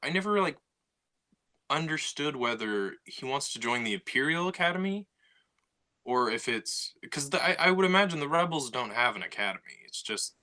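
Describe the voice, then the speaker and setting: A man's voice: mid-range voice, animated, moderate pace, bright, forceful tone. A man co-hosting a podcast, talking casually with many fillers and restarts as he thinks aloud. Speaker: podcast co-host. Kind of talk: casual discussion.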